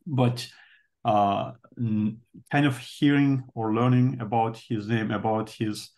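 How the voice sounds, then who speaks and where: A man with a Turkish accent talks in a book club discussion. His pitch stays flat and level, and his soft-spoken delivery pauses often as he searches for the right English words. His native language is Turkish. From Turkey. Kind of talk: casual discussion.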